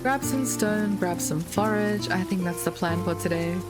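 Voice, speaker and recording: Slightly hoarse woman chatting over game music. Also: on the low side, moderate pace. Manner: chatty, light punning asides, frequent ums and uhs. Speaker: gaming video creator. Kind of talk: casual gameplay commentary. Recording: loud game music with rain sounds behind.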